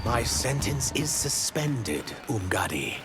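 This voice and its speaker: An actor playing an earnest, defiant rebel fugitive in a video game, speaking in a grave, declamatory way. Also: male voice, mid-range voice, big pitch swings, slow pace. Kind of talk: video game cutscene acting. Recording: loud music behind the voice. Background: loud dramatic music.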